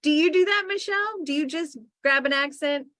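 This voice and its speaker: Woman, livestream co-host, gushing, giggly, easily sidetracked, high-ish voice, dry close sound. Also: a little fast, fairly bright tone, dry recording. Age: adult sister of co-host. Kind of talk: casual chat, reviewing books.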